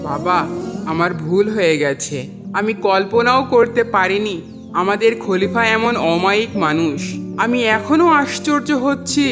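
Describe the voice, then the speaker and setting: A woman playing a humble, forgiving old widowed mother in an animated moral drama, speaking earnestly and reverently. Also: fairly high pitch, lightly acted. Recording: music behind the voice.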